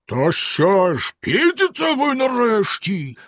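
A man playing a cold, cruel storybook husband, measured and threatening, his words dropping one by one. The voice sits a little high for a man and sounds close and dry. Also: big pitch swings, no echo, exaggerated voice. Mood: bellowing. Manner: slow, stern, threatening delivery.

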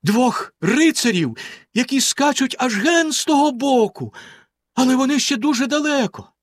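A soft-spoken young sister from a fairy tale, answering in a high, lilting sing-song, as a male narrator puts her on. Close, dry sound. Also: expressive, normal speed, no room sound. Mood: gentle. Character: young woman, sister, gentle lookout.

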